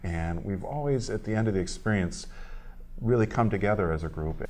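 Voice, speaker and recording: A man being interviewed as a theatre director, explaining a show in a measured way with short halting pauses. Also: fairly low pitch, darkish tone.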